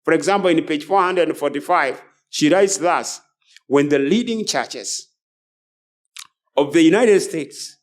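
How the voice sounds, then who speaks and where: A man's voice: a little high, animated, unhurried, pauses often. A man preaching a sermon as a preacher, emphatic and repeating phrases as he goes. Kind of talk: sermon with scripture and book readings.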